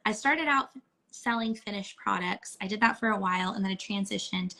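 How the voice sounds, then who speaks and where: A woman in her thirties as a guest in a casual chat, her voice hoarse and cracking, easygoing. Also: medium pitch, a little fast, bright, forceful tone.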